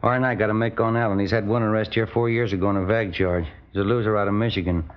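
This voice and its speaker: An actor playing an easygoing police detective partner in a 1950s radio drama, with understated, laconic, matter-of-fact delivery. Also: male voice, lowish voice, fairly even intonation, quick pace, lightly acted. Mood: businesslike. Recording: muffled, noisy old radio recording.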